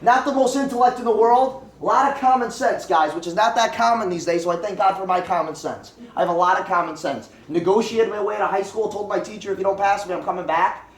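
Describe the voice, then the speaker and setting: A man in his twenties giving a joking, fast and repetitive motivational pep talk at a home meeting in a packed living room. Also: high-pitched. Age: 20s. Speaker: network marketing presenter. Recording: echoey room, voice from far off.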